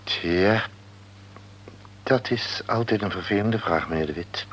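A formal, cautious doctor, voiced by a man speaks in a radio drama. His voice sits very low, and he weighs each word. Faint hum runs underneath. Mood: earnest. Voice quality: measured, formal. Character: cautious, frank male hospital doctor. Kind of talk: radio play acting.

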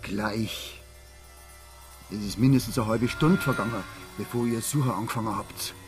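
Man acting a Bavarian cop, low voice, bitter, blunt, accusing, desperate edge, radio play, music underneath. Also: a little slow, close to an everyday voice. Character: bitter, compromised male small-town policeman, accusing and desperate. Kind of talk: naturalistic radio drama acting.

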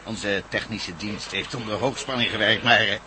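An actor playing a firm, businesslike police commissioner in a radio drama, with an official, deliberate manner. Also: male voice, fairly low pitch, fairly even intonation, lightly acted. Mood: explaining. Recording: noisy old radio drama recording.

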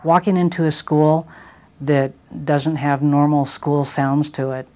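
Somber, flat, mellow American woman. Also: low-pitched, slow pace. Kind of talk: documentary interview recollection.

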